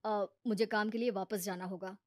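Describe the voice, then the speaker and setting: A woman's voice: medium pitch, fairly even intonation, moderate pace, no room sound, studio quality, close to an everyday voice. A woman voicing a sensible, patient married man who gives earnest advice in a moral story. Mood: calm, accommodating. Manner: earnest, advising. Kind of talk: animated moral story character acting.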